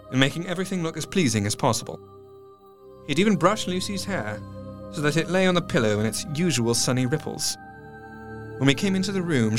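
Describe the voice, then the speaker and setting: An actor playing a melancholy, dutiful doctor, narrating his diary in a measured, formal way for an audio drama. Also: medium pitch, lightly acted.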